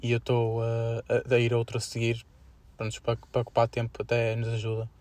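A young man with a European Portuguese accent co-hosts a casual student podcast. He talks quickly at an average pitch, in a slangy and halting way. The recording is dry, with little room sound. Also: monotone, darkish tone, no room sound. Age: young adult. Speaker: podcast co-host.

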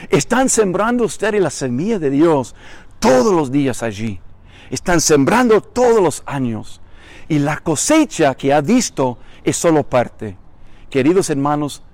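Older man, pastor, chilean accent with mexican mix, emphatic repetitive preaching, fairly high voice, dry sound. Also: a little mellow, dry recording. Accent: Chilean Spanish mixed with Mexican. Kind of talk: recorded sermon greeting to a congregation. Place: outdoors on a vacant lot.

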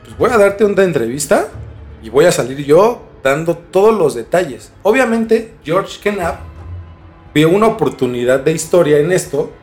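Higher-voiced Mexican man over music. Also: very animated, normal speed, a little mellow. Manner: informal, slangy. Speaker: podcast host. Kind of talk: casual conversational storytelling.

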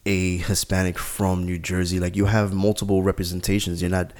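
A man with a very deep voice asks questions in an interview. He speaks slowly and carefully and keeps a thoughtful tone. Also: flat delivery, darkish tone. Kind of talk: casual interview.

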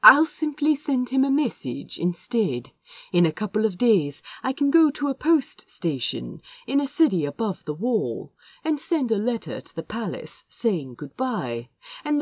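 A woman's voice: mid-range voice, darkish tone, slightly rough, dry recording, full character voice. A woman voicing a fussy, well-mannered prince who talks in a prim, nervous, wordy rush, as in an audiobook.

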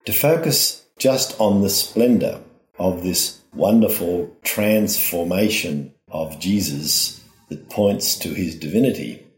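A man speaking as a priest, delivering a formal, measured homily in deliberate phrases. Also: sits low. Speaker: priest giving a reflection. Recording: roomy, echoing sound.

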